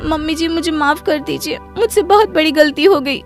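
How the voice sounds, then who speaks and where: A woman's voice: high voice, normal speed, soft background music, natural voice. A woman voicing a timid, apologetic young daughter-in-law in a cartoon moral story, in a pleading, whiny way. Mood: tearful, apologetic.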